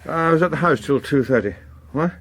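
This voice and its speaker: Blustering, high-pitched English politician. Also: male voice, animated, brisk. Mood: distracted. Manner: blustering, sardonic. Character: irritable veteran politician and exasperated father, middle-aged. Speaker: actor in radio drama. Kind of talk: naturalistic radio drama acting.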